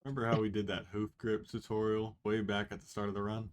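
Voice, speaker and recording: A man's voice: fairly low pitch, monotone, fast talker, smooth. A man reading along in a casual group fanfic reading, joking and chuckling between lines. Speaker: co-reader.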